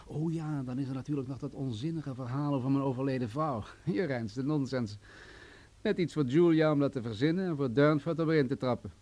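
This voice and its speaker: An actor playing a menacing, wealthy crime boss in a radio play, coolly sadistic, condescending and mocking. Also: male voice, mid-range voice, fairly quick, darkish tone, natural voice. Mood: cool, commanding. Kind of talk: vintage Dutch radio play acting.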